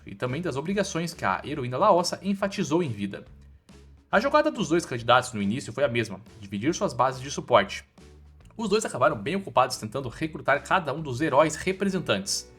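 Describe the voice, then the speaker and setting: Lively Brazilian man narrating a story recap. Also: on the high side, husky. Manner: storytelling with colloquial slang. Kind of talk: informal scripted story narration. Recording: voice-over with background music. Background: music.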